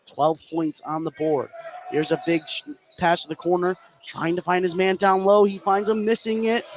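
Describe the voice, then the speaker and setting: A man doing live radio play-by-play of a basketball game, getting excitable on big plays. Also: high voice, moderate pace, slight background noise. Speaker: play-by-play commentator.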